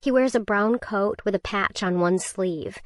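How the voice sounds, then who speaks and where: A woman narrates a survival thriller in first person, tense and measured. Her voice sits at a mid pitch for a woman. The recording is dry and close, with no room around her. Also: no room sound. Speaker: audiobook narrator.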